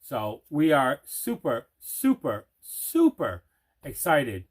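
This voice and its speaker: A man's voice: medium pitch, huge pitch swings, takes it slowly. A man hosting a collector's video channel, chatting casually through an unboxing with emphatic, repeated phrases. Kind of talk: casual unboxing vlog chat.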